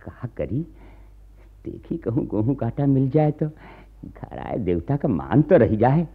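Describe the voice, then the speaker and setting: An actor playing a poor, humble Indian peasant farmer, with a rural Awadhi accent and a deferential, exclaiming manner, in a radio play. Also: male voice, medium pitch, big pitch swings, unhurried, slightly rough, full character voice. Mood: worried. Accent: Awadhi, rural north Indian. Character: poor, humble peasant farmer, anxious to please, scolding father. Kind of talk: radio drama acting. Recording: muffled, tinny archive radio recording.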